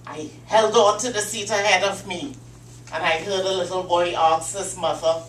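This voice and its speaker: A woman giving a prepared humorous speech at a club meeting, telling her story with deliberate, dramatic pauses. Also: fairly low pitch, steady tone. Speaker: Toastmasters club speaker. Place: meeting room. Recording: echoey room, distant mic, faint hum.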